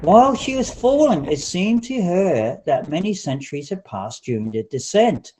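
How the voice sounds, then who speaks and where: A man's voice: high voice, big pitch swings, normal speed, dark tone, slight background noise, poor audio. A man narrating a fairy-tale audiobook in a dramatic, scripted storytelling style. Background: music.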